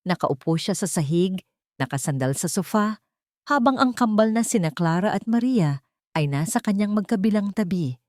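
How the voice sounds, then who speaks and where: A woman with a Filipino accent narrating a dramatic audiobook story in a measured, expressive way, phrase by phrase. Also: medium pitch, no echo, studio quality.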